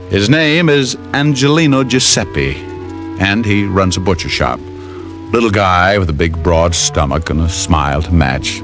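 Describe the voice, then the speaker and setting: An actor playing a wry, self-assured American private detective in an old radio drama, breezy and teasing. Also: male voice, lowish voice, close to an everyday voice. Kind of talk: 1940s-50s radio drama, first-person narration and dialogue. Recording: old-time radio recording.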